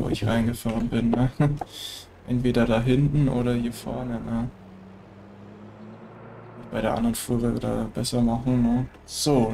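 German guy with a cold, stuffy nose, gravelly and slow, chatty game commentary, bit of hiss behind. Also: medium pitch. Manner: relaxed, chatty, frequent filler tags. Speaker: let's play gamer. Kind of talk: casual gameplay commentary.

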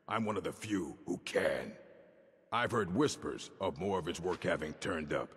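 An actor playing a gruff, learned old orc librarian in a video game, with a gravelly rasp and a measured, curt delivery. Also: male voice, low voice, expressive, fairly bright tone, heavily acted. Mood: dry, measured. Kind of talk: video game dialogue acting. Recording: long reverb of a stone hall.

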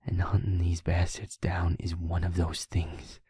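A husky, very breathy young werewolf boyfriend, acted by a man, low and close in an intimate audio drama. He talks slowly and haltingly, often half-whispering, with wounded determination and tenderness. The sound is dry and dark. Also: monotone, no echo, exaggerated voice. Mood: weary, subdued. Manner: halting, slow, heavy pauses. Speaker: audio roleplay voice actor.

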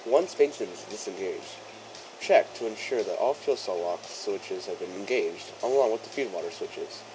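A man playing a courteous chief engineer who presents a training film in formal, measured, instructional narration. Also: fairly low pitch, lively intonation, a little mellow, close to an everyday voice, poor audio. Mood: instructive, formal. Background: music behind the voice.

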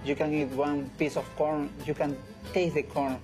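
A man in his thirties from Peru with a Peruvian Spanish accent, a chef speaking halting English in an informal interview. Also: a little high, little pitch movement. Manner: halting non-native English with repeated phrases. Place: indoors in a restaurant. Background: music.

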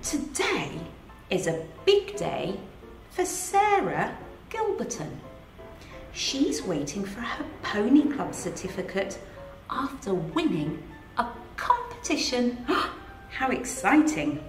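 Middle-aged English teacher, storybook read-aloud; bright, bouncy and unhurried, roomy echo with soft music under. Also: female voice, fairly high pitch. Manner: animated, sing-song, asks children questions. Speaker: head of early years, reading aloud to children. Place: in front of a green screen with book pages. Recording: echoey room, faint music behind.

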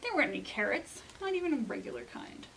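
An American woman in her thirties presenting and reading as a children's librarian, expressive and clearly enunciated, with dramatic pauses. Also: high-pitched. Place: indoor library room.

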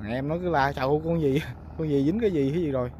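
A man's voice: fairly high pitch, fast talker, warm tone. A man with a Mekong Delta accent chatting casually and colloquially to viewers as a fishing vlogger, often exclaiming. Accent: Southern Vietnamese, Mekong Delta. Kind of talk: casual outdoor vlog chat. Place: outdoors on a small boat on a river. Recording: clear outdoor boat noise.

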